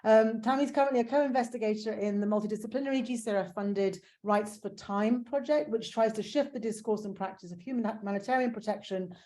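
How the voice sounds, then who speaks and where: Woman hosting a webinar over video call, mellow warm voice, lots of ums. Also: on the high side. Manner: measured, with frequent fillers. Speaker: webinar moderator. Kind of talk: formal online panel introduction.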